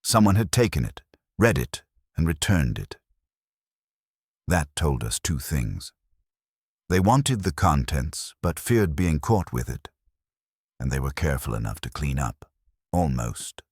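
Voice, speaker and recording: A man narrating a scripted detective story as an audiobook, in a measured, theatrical noir manner. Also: very deep voice, sing-song, breathy edge, no echo, clean studio sound.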